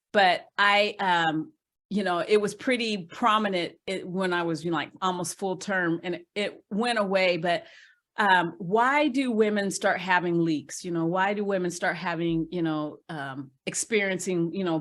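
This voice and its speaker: A woman's voice: lowish voice, measured pace, fairly bright tone. Chatty woman hosting a live interview. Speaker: host interviewing a guest. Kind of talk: casual live online interview.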